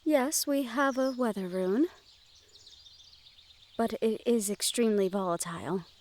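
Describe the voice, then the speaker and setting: Gentle, formal young fantasy noblewoman played by a woman. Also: on the high side, close to an everyday voice. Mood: serene, thoughtful. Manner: polite, formal, measured phrasing. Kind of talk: scripted audio roleplay addressed to the listener.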